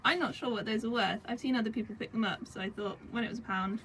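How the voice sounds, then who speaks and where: A young woman, croaky from a cold, chatting casually at home about secondhand items she resells, with lots of fillers. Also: a little high, fast talker, a bit bright. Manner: chatty, rambling with many fillers. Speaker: vintage reseller vlogger. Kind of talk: casual chat. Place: home indoors. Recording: home recording with clear background noise.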